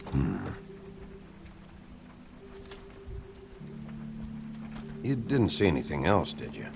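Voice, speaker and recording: An actor playing a gruff, weary, short-tempered detective in a video game, deep and gravelly, blunt and terse. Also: male voice, sing-song, brisk, heavily acted. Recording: music under the dialogue.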